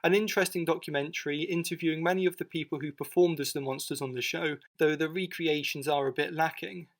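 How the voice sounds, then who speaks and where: A man's voice: a little high, steady tone, fast talker. A man narrating a scripted documentary video in an informal, matter-of-fact way. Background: music bed.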